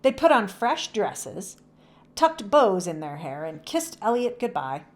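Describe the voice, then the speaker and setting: Animated woman reading a children's story. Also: mid-range voice, measured pace. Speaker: children's book read-aloud narrator. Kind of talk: expressive scripted children's story narration.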